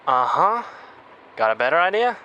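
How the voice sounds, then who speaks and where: Casting: reckless, impatient man, curt delivery with lively pitch swings, faint vehicle noise, audio drama. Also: normal speed, muffled recording. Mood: tense, irritated. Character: impulsive, obsessed, gruff male risk-taker.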